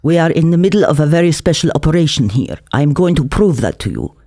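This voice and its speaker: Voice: mid-range voice, big pitch swings, fast talker, warm tone. A narrator voicing a boastful, combative man working a café night shift.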